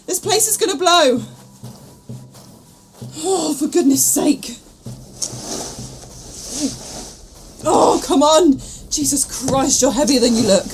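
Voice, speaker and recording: A woman's voice: high-pitched, lively intonation, unhurried, slight background noise. A woman playing an earnest, anxious, plain-spoken companion in an audio drama. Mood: urgent, panicked. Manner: emotional, exasperated, earnest.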